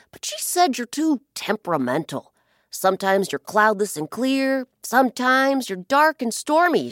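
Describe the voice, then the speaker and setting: A woman's voice: medium pitch, fairly bright tone, no room sound, studio quality. A woman voicing a scheming, mischievous male blackbird in a children's story, talking in a sly, conspiratorial way.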